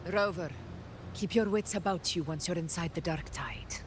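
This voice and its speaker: An actress playing a solemn, supportive noble ally in a video game, giving earnest, formal encouragement. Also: female voice, on the low side, normal speed, noisy background, natural voice. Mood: earnest, encouraging. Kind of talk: dramatic video game acting.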